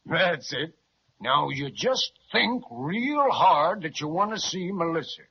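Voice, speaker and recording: An actor playing a kindly, boastful cowboy leader with an American Western drawl in a 1930s children's radio serial. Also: male voice, on the high side, very animated, takes it slowly, a little hiss or hum, heavily acted. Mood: folksy, instructive. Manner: folksy, drawling cowboy talk. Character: folksy cowboy Slim, kindly, boastful leader of a little posse. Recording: muffled vintage 1930s radio recording.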